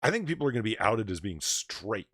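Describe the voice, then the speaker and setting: A man hosting a live stream, booming and blunt, swearing freely as he rants through the news. His voice sits low but leaps around in pitch, and the sound is dry and close. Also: sing-song, fast talker, fairly bright tone, no echo. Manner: booming, profane, ranting. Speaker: host of a live comics news show. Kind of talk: opinionated live-stream commentary.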